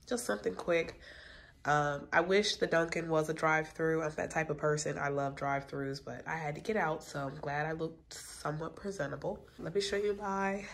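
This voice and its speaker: Stuffy-nosed low-voiced woman vlogging. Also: normal speed, creaky, slight background noise. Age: late 20s. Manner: relaxed, rambling, lots of fillers. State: stuffy, congested. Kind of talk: casual vlog chat to camera. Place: hotel room by a window.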